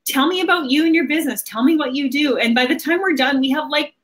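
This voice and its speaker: A woman with a fairly high voice hosts a casual online conversation. She talks informally, in a conversational way, as someone in her thirties chatting over a video call. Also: quick pace, a bit bright. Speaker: host of a marketing livestream. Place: small home office indoors.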